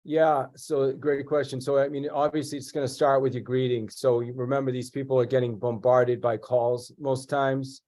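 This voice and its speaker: A man's voice: mid-range voice, moderate pace, hardly any pauses. A middle-aged man with a congested voice from a cold, speaking directly with lots of restarts as an interview guest. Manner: direct, energetic, with many restarts. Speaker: guest real estate agent and sales trainer. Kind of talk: conversational interview.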